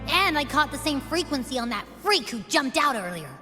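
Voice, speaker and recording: A woman's voice: high voice, very animated, brisk, sharp tone, in a large hall, faint music behind, heavily acted. A woman voicing a small, brash, boastful creature companion in a video game. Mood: cocky.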